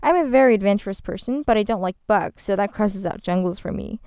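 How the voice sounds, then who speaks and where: A chatty woman guest in a radio studio, talking in a bubbly, rambling way with a gravelly texture under a smooth, clear tone. The sound is close and dry. She is from Ghana. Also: medium pitch, fast, darkish tone, no echo. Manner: chatty and bubbly, with frequent restarts. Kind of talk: casual interview chat. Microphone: studio microphone.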